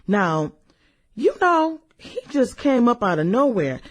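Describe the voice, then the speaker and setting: Sassy, slow-drawling middle-aged woman, played by an actress. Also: on the high side, very animated, heavily acted. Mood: gleeful nostalgia. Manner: sassy, drawling exclamations and hums, girlfriend-to-girlfriend banter. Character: brash, fun-loving middle-aged woman, a nostalgic former popular girl. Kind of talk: satirical radio drama acting.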